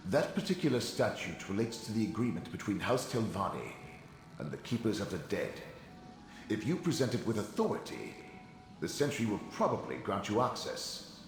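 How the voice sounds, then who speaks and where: A man playing an eccentric, self-assured fantasy wizard in a video game, articulate, theatrical and musing, with a heavy ghostly reverb on his voice. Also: fairly low pitch. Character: eccentric Telvanni wizard, male, self-assured and dryly fussy. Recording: very echoey reverberant hall sound, music behind.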